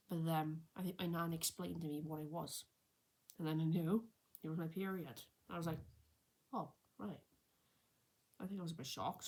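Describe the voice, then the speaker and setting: A woman's voice: sits low, husky. A woman in her early twenties telling a personal story in a rambling, unscripted vlog, with many restarts and self-corrections. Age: 20s. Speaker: vlogger. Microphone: camera set a little far away.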